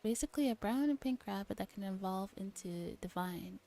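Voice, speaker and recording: A woman streamer gently reading a visual novel aloud in a soft ASMR style, with relaxed casual asides. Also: mid-range voice, fairly quick. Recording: music behind the voice.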